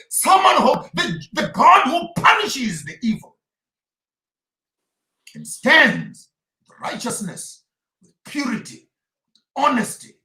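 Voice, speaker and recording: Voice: sits high, sharp tone. A preacher delivering an impassioned sermon, emphatic and repeating phrases for effect, in a roomy, echoing recording.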